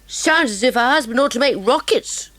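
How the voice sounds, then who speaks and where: A clever schoolboy from a children's mystery story. The voice is on the high side, confident and keen, recorded dry and close. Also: female voice, expressive, normal speed, fairly bright tone, no room sound. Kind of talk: dramatised children's audiobook acting.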